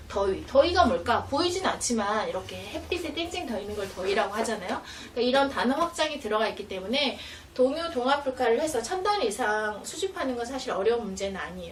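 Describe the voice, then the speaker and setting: A woman instructor giving an animated, explanatory demonstration for parents, recorded indoors at some distance from the mic. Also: fairly high pitch. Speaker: children's education instructor. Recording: roomy, distant mic, faint noise.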